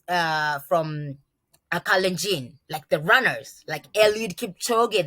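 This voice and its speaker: A woman's voice: fairly low pitch, sharp tone, dry recording. A young Kenyan woman teaching a class of children, explaining things simply with examples. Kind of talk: classroom lesson for kids.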